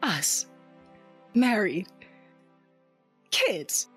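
A woman narrating an audio drama in first person as a tough, wry smuggler, soft when tender. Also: mid-range voice, big pitch swings, drawn-out words, slightly rough, faint music behind.

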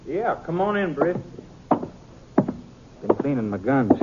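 A man's voice: on the high side, sing-song, slow, crowd noise. A man acting a proud, worried Old West farmer and father in a 1950s radio western, blunt and rustic with an American accent. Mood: dry, guarded. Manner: blunt, rustic, plain-spoken. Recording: muffled, noisy 1950s radio recording.

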